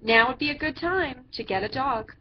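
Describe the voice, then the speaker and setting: Woman reader playing eager young picture-book boy, high voice, insistent emphasis; muffled, noisy recording. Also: animated, normal speed. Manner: emphatic, earnest, stretched-out words. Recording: dull lo-fi sound with background noise.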